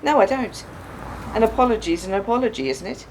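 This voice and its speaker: An actress in a radio play voicing an embittered, grieving widow who speaks with accusing, pointed questions. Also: female voice, mid-range voice, expressive, fast, a little mellow, a little hiss or hum, close to an everyday voice, rough recording. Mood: pressing, sceptical. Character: embittered grieving widow confronting her husband's killer.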